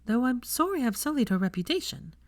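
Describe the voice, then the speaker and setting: Casting: bantering young witch for an audio story, lifted pitch, prim and pointed played by a female reader. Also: big pitch swings, brisk, no echo. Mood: curious, earnest.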